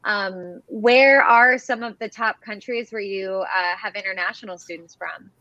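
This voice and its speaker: A woman's voice: medium pitch, a little slow, bright, forceful tone, dry recording. A young woman from Ohio hosting a live interview, upbeat and often saying "awesome". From Ohio, USA. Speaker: host. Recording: dull lo-fi sound.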